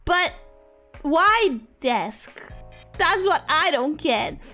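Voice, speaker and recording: A young woman streamer talking from a home setup. Her voice is bright and crisp, and it swings all over the range, from squeaky highs down to grumbling lows. Soft music plays quietly under her talk. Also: very slow. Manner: expressive, swings between high squeals and low mutters. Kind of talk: casual chat reading viewer messages.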